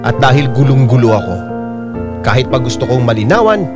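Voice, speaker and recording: A troubled young husband who cheats and gets defensive about it, played by a male actor in a radio drama. His mid-pitched voice moves expressively as he confides and argues. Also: big pitch swings, a little fast, loud music behind the voice, close to an everyday voice. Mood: anguished, confused. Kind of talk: Filipino radio drama acting and first-person narration.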